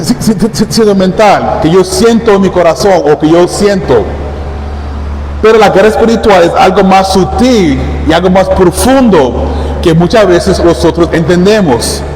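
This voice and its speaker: A man preaching a sermon in Spanish with a Caribbean accent, reading scripture and praying, talking quickly with occasional stammers and restarts. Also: high voice, darkish tone. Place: church hall. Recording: echoey church hall, faint background noise.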